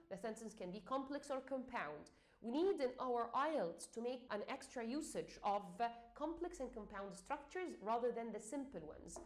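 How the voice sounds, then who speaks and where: A woman with an Egyptian accent giving a teaching talk, explaining with frequent fillers and restarts. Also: a little high. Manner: hesitant, many fillers, repeats and restarts. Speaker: English exam teacher.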